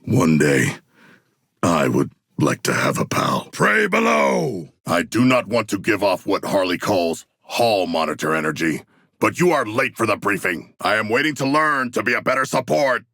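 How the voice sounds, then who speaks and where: A huge shark-man warrior from a video game, with a low, gravelly voice that swings widely in pitch. He is earnest and literal-minded, speaking in stiff, grandiose sentences with a forceful edge. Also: very animated, moderate pace, no echo, studio quality, heavily acted. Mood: battle fervor. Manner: formal, stilted, grandiose phrasing.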